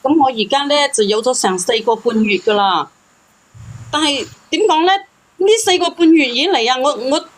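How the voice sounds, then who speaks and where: A woman with a Cantonese accent calling in to tell a personal story in a hesitant, defensive, pleading way. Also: high-pitched. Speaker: phone-in caller. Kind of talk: personal confession, casual storytelling.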